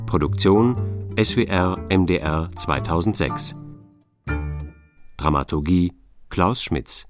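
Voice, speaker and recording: A man's voice: deep voice, slow pace. A man giving a formal, scripted announcement of radio drama credits. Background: guitar music.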